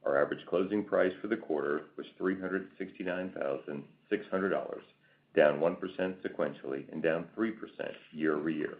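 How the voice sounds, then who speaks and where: A man's voice: low-pitched, moderate pace. A man reading a scripted financial report as a company executive on a conference call. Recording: tinny phone-like conference line.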